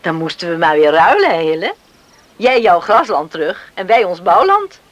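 An actress playing a brisk, hospitable farm woman in a Dutch radio play, coaxing and practical. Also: on the low side, sing-song. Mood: persuasive.

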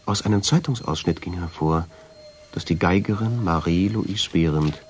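An actor playing a brooding man who narrates a radio drama in the first person, measured and suspenseful. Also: deep voice, slight background noise, lightly acted. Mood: reflective. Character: brooding man recounting a mysterious family discovery.

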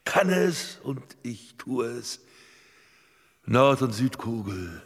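An actor playing a menacing, domineering hypnotist doctor in a radio drama, giving clipped commands with dramatic pauses. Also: male voice, mid-range voice, big pitch swings. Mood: boastful, hateful. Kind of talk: German radio drama acting.

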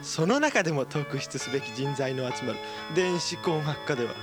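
A man's voice: a little high, lively intonation, normal speed. A man reading a scripted mock promotional announcement in an amateur radio production. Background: plucked guitar music.

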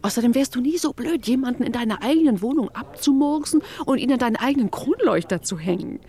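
Casting: a devoted, protective wife, radio drama, slightly high voice, very lively intonation, intimate. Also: female voice, fast. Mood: scornful, tender. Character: loyal, loving wife, incredulous and protective. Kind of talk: German radio drama acting.

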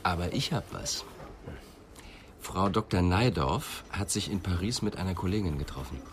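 An actor playing a serious, probing police inspector in a radio crime drama, speaking in terse questions and remarks. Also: male voice, low voice, animated, moderate pace, slight background noise. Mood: pensive, businesslike.

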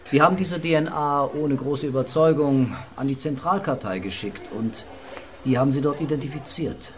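A man's voice: fairly high pitch, moderate pace, slight background noise, lightly acted. A man acting in a radio drama as a methodical police investigator, questioning and summing up step by step. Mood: methodical, pressing.

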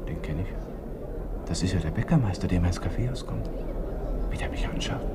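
An actor playing an arrogant, thin-skinned young army lieutenant with an Austrian accent, muttering indignantly in a radio drama monologue. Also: male voice, sits low, lively intonation, a little fast, vocal fry, in a whisper. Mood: anxious muttering. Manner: haughty, indignant, muttering to himself. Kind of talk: 1960s German radio drama interior monologue.

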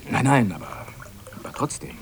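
A shy young lab assistant in a radio play. His voice sits fairly low but moves widely in pitch. He is earnest and self-doubting, with a halting, stammering delivery. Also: big pitch swings, vocal fry, a little hiss or hum. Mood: bashful, halting. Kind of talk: radio drama acting in German.

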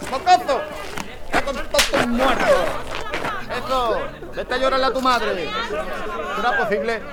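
An actor playing a surly, greedy fruit seller who swears and shouts at a crowd, with crowd noise behind. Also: male voice, very high-pitched. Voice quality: gruff, swearing, bossy. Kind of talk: community radio drama.

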